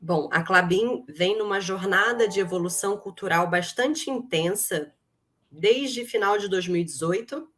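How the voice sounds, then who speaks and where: A woman's voice: medium pitch, unhurried. A middle-aged woman with a Brazilian accent, speaking as an interview guest and explaining in a conversational way. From Brazil, grew up in Rio de Janeiro. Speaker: interview guest, human resources executive.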